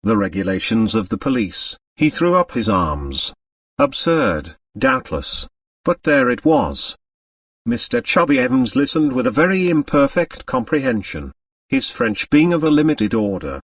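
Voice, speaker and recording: A man's voice: medium pitch, very animated, measured pace, dry recording, clean studio sound, far from the mic. A man reading scripted literary narration as an audiobook narrator.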